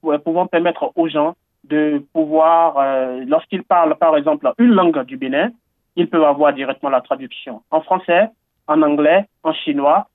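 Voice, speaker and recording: High-voiced man on a tinny line. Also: normal speed, no echo. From Benin. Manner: earnest, enthusiastic, with frequent fillers and repetitions. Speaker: interviewee. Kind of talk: radio interview. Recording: tinny phone-like line, dry.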